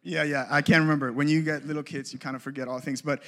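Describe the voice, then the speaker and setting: A man's voice: a little high, level tone, fast, pushed and bright. A man in his thirties from Colombia, a creative ministry leader giving a casual, joking workshop talk with many fillers and restarts.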